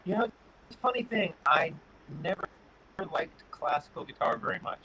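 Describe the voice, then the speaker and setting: A middle-aged man from Connecticut answering interview questions in a relaxed way, pausing often with fillers. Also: high-pitched, slightly rough, poor audio. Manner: relaxed, pauses with many "uh" fillers. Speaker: guest, guitar builder.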